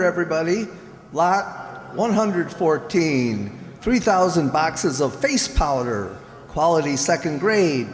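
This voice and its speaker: A man plays a radio-drama auctioneer, businesslike but easily flustered. He calls out haltingly in a high, unhurried voice that swings up and down through a very echoey hall. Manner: calling out, halting. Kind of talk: old-time radio drama acting. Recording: echoey hall, long reverb.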